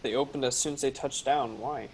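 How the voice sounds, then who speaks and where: A woman's voice: a bass voice, fairly even intonation, brisk, a little mellow, a little hiss or hum. A woman voicing a nervous, defensive young boy castaway in a sci-fi table read, a little hesitant.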